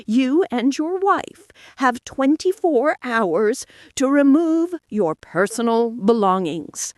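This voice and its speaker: A woman's voice: fairly high pitch, big pitch swings, slow, a little mellow, no echo, clean studio sound, voice pushed up. A woman voicing a stern, authoritative female police detective in audiobook-style story dialogue. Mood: stern, official.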